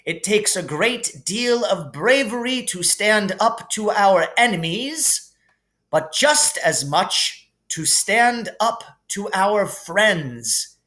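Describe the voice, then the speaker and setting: A man voicing an elderly, wise and kindly wizard headmaster with a stately, deliberate delivery, as in a storybook reading. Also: high voice, expressive, bright, forceful tone, voice pushed up, heavily acted. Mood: wise, grand.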